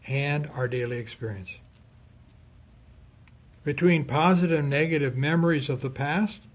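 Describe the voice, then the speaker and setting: A man reading a scripted scripture reflection as a priest, measured and deliberate, with emphatic pauses. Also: mid-range voice. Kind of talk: scripted sermon reflection read aloud.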